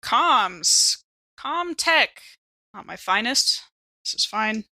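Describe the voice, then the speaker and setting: A woman's voice: fairly high pitch, sing-song, extremely slow, noisy background. A woman chatting casually and role-playing as a player in a tabletop game.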